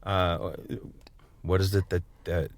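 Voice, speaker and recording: A man with a fairly low voice talks casually as a podcast co-host. His delivery is halting, circling back over words as he puts his thoughts together. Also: level tone. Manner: halting, repeats words while forming questions. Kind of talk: casual chat, interviewing.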